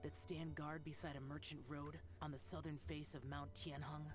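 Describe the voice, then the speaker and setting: A man's voice: on the high side, level tone, normal speed, close to an everyday voice. A man voicing an aloof young demon-slaying warrior in a video game, with a curt, solemn manner. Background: game music.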